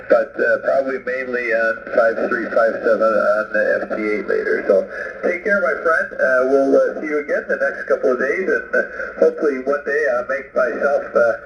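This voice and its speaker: A man's medium-pitched voice comes through a band-limited radio transmission with light static. He chats casually and amiably as a ham operator, often pausing mid-phrase before carrying on. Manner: halting, pauses often mid-phrase, friendly. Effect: shortwave-style radio transmission. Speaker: visiting amateur radio operator. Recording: muffled, noisy single-sideband radio reception. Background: radio static and noise.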